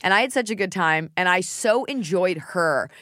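A woman's voice: fairly low pitch, expressive, normal speed, a bit bright, no echo. A woman hosting a podcast in a studio, chatting casually in a joking, candid way. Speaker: podcast host. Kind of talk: casual chat.

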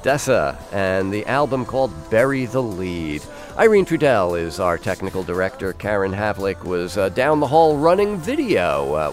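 A man hosting a music interview on the radio, speaking conversationally with halting, repeated phrasing. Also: on the low side. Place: radio studio.

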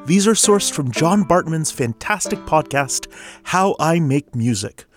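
A man's voice: fairly high pitch, expressive, measured pace. A man hosting a podcast, reading a scripted introduction. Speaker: podcast host, composer. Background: plucked guitar music.

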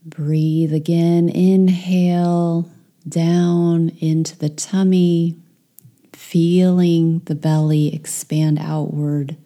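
A woman leading a guided meditation, speaking softly and soothingly, with some phrases whispered. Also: sits low.

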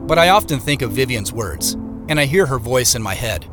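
An actor with an American accent playing a reflective man who narrates his road trip in a measured, literary audio drama style. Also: mid-range voice, lively intonation, over music, close to an everyday voice. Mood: reflective, wistful.

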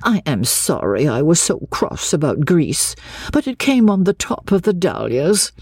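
A woman's voice: low-pitched, big pitch swings, unhurried, a little mellow, breathy edge, no room sound, studio quality, full character voice. A woman voicing a fussy, affectionate middle-aged mother in a storybook reading. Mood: apologetic.

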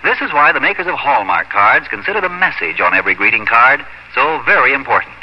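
A man's voice: mid-range voice, very animated, fast. A man announcing a 1950s radio show and reading its sponsor messages in a scripted style. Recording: muffled old radio recording, music behind.

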